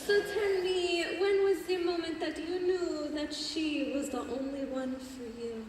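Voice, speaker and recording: A woman's voice: very high voice, steady tone, unhurried, darkish tone, slight background noise. A teenage actress playing a glamorous young woman faking a French accent, coy and teasing on a school stage. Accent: fake French. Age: teens. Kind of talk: stage musical performance. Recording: echoey hall from far off.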